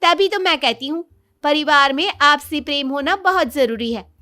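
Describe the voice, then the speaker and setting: Casting: actress as a tattling young daughter-in-law for cartoon family drama, very high, indignant, whiny. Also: female voice, moderate pace, sharp tone. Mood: sarcastic, bickering.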